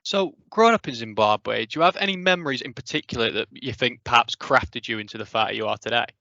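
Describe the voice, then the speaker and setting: A man's voice: medium pitch, fast, gravelly, bright, forceful tone, dry recording, highs cut off. A man conducting a sports interview, putting fluent, articulate questions in a conversational way.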